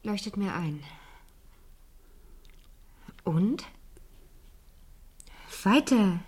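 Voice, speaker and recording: A woman's voice: mid-range voice, lively intonation, extremely slow, darkish tone, husky, heavily acted. A woman playing a persistent fifteen-year-old niece who questions pointedly, in a German radio crime drama. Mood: probing.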